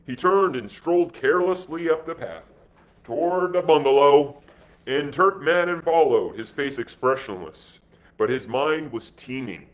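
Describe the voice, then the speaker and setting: A man giving an expressive, impromptu amateur reading of an adventure story. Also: fairly high pitch, measured pace. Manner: animated, expressive delivery with put-on dialogue voices. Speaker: amateur reader.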